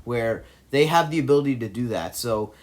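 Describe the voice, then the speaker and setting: A man's voice: mid-range voice, expressive, fairly quick. A man in an opinionated movie commentary, arguing his case emphatically and rambling through restarts.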